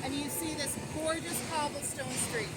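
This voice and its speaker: Brief: middle-aged woman, earnest street tour guide, high voice, heavy traffic roar behind. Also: steady tone, normal speed. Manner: earnest, thoughtful, many ums. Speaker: local resident advocate. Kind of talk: walking tour talk. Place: city street outdoors by the waterfront. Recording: loud street traffic noise. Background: traffic and construction noise.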